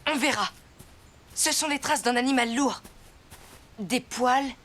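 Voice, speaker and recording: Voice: high voice, measured pace, a bit bright, slight background noise. A performer voicing an earnest, determined and stubborn young Sioux boy in a French cartoon dub. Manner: earnest, spirited. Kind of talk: French animated series dubbing.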